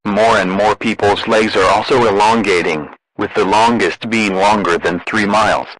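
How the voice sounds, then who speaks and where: A man's voice: fairly low pitch, fairly even intonation, moderate pace, fairly bright tone, dry recording, poor audio. A man delivering a scripted emergency broadcast as an announcer, in a formal, impersonal way.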